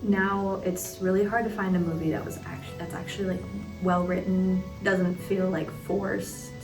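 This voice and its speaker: A woman's voice: on the low side, flat delivery, moderate pace. A twenty-year-old woman giving frank, casual interview answers with ums and likes. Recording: roomy, distant mic, music underneath.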